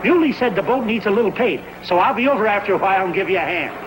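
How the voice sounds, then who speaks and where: An actor playing a breezy, self-satisfied coworker in a 1950s TV sitcom. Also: male voice, high-pitched, expressive, rapid-fire, very noisy. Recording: old TV soundtrack, loud music.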